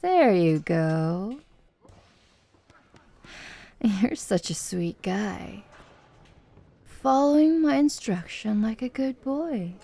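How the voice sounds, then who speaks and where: A woman's voice: medium pitch, expressive, very slow, creaky, long pauses, dark tone, heavily acted. A woman playing a flirty, confident tomboy MMA fighter in an ASMR roleplay, with a playful, teasing, coaxing manner. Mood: gently coaching.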